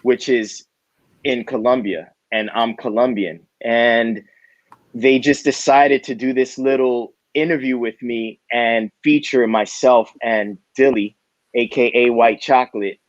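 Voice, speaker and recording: A man chats as a guest in a relaxed, candid way, dropping casual slang. His voice sits mid-pitch, and the recording is dry and a little dull. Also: unhurried, no room sound. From New York City. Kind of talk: casual interview chat.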